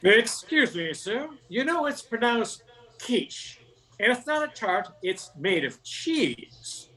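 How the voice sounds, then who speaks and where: A man's voice: high voice, very animated, takes it slowly, sharp tone, heavily acted, rough recording. A man playing a fussy, precise, correcting diner customer in a comic radio play. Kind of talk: comic old-time radio play acting.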